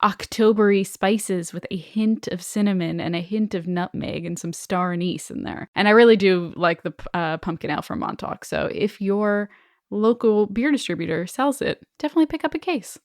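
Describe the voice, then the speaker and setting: A woman's voice: mid-range voice, moderate pace, dry recording. Woman podcast co-host, close dry sound. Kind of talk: casual podcast chat and reading listener stories aloud.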